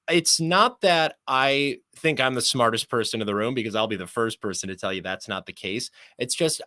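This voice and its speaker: A young man with a bright, projecting broadcaster's voice talks in a sports interview. He is articulate and measured, choosing humble, rather elaborate phrases. The sound is dry and close. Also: on the low side, dry recording. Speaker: sports play-by-play announcer, interview guest.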